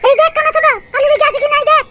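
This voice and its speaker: Cartoon village wife: bossy, scolding, extremely high-pitched, rapid. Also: female voice, fairly even intonation, noisy background. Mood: angry scolding. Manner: scolding, commanding. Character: shrill, bossy, foul-mouthed village wife. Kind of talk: Bengali comedy cartoon dialogue.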